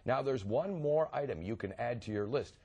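A man's voice: medium pitch, lively intonation, normal speed, vocal fry, a little mellow, husky, dull audio. A man co-hosting a TV program with a scripted introduction. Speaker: program co-host.

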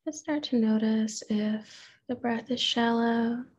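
A woman therapist leading a guided meditation in a gentle, unhurried manner, with pauses and open questions. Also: on the high side, steady tone, slightly rough, dull audio.

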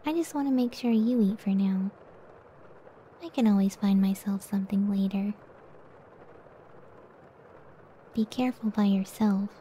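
A woman voicing a gentle, self-doubting giant cyclops wife in a soft, breathy ASMR roleplay, speaking tenderly and often near a whisper. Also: medium pitch, a little hiss or hum, natural voice. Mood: tender, caring. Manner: slow, tender, unhurried.

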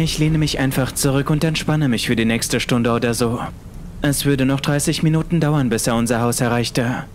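A man's voice: mid-range voice, a little hiss or hum. A man reading a scripted horror story as the narrator of an audiobook. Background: music.

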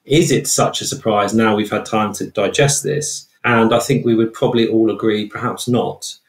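British man, expert guest, lower register, measured explanations, roomy recording. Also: steady tone. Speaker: trauma researcher, interview guest. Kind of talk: explanatory interview answers. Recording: roomy, echoey sound.

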